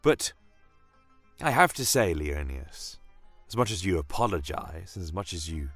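A man voicing a horned fantasy army commander tells his war story in a very low, slow voice. He is solemn and defiant, measured in delivery. The sound is dry and close, with music underneath. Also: sing-song, gravelly, a bit bright, full character voice. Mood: solemn, earnest. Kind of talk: dramatic first-person fantasy audio story.

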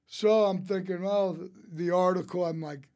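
A man tells casual, rambling anecdotes as a podcast guest, quoting people in an animated way. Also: high voice, normal speed. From St. Louis, United States. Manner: rambling, animated storyteller who quotes others. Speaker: interview guest, restaurateur. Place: small podcast studio. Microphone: close studio mic on a boom arm.

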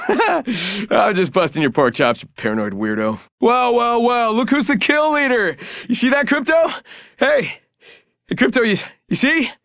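An actor playing a cocky, joking trickster in a video game, flippant and stammering, teasing theatrically. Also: male voice, very high voice, sing-song, a little slow, fairly bright tone, dry recording, clean studio sound, full character voice. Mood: mock-excited teasing. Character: cocky, joking young male trickster fighter. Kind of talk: video game character voice lines.

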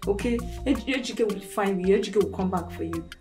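A woman's voice: medium pitch, fairly quick, over music, close to an everyday voice. An actress with a Nigerian accent playing a caring young woman friend who comforts and chides. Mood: concerned. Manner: concerned, chiding.

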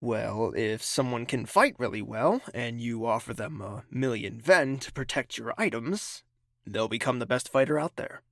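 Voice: mid-range voice, lively intonation, moderate pace, creaky, no echo, clean studio sound. An actor playing a haughty, impatient young royal prince in an audio drama, clipped and condescending. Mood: relaxed, familiar.

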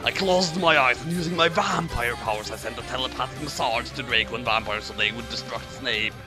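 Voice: mid-range voice, brisk, over music. A narrator reading a fan fiction story aloud as scripted narration.

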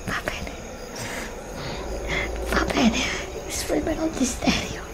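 A woman's voice: high-pitched, takes it slowly, heavy background noise. A middle-aged woman whispering an ASMR roleplay, pleading and anxious, recorded indoors on a desk studio mic. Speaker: ASMR creator. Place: indoor room before a green screen.

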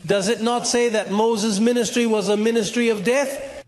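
A middle-aged man with a strikingly high voice preaches on a studio stage. He speaks into a handheld mic, animated, acting out the voices in his story and climbing to shouts. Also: normal speed, a crowd in the background. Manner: animated, gesturing, acts out dialogue, rises to shouts. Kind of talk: sermon.

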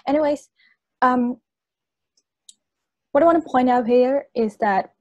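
A young woman whose first language is Chinese delivers a persuasive student speech. Her voice sits a little high yet is soft and mellow rather than sharp, and she addresses her listeners earnestly. The sound is close and dry. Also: measured pace, no room sound, dull audio. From China. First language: Chinese (Hainanese and Mandarin). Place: indoors on a video call.

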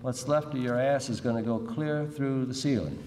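A man reading aloud as a coolly menacing woman caller, deliberate and sardonic. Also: mid-range voice, darkish tone, lightly acted. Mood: cool menace. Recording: echoey hall, distant mic, faint noise.

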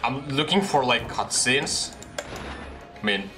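A man's voice: mid-range voice, animated, slow, over music. A young man giving casual, reactive commentary on a horror game, exclaiming and repeating short phrases, recorded in a room at home. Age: young adult. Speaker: gaming video creator playing a horror game. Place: small room at home, indoors.

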